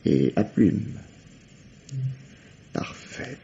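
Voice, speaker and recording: An actor playing a sardonic, authoritative middle-aged police inspector questioning a suspect in a 1950s-style French radio drama. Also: male voice, lowish voice, big pitch swings, drawn-out words, creaky, mellow, slight background noise, heavily acted. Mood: brusque. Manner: authoritative, sarcastic, theatrical. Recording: dull, lo-fi vintage radio recording.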